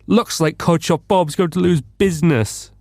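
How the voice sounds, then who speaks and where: An actor playing a pompous, dim-witted, excitable detective in a blustering manner for a comic radio drama. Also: male voice, a little high, moderate pace, no room sound, clean studio sound. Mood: puzzled, excitable. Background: music.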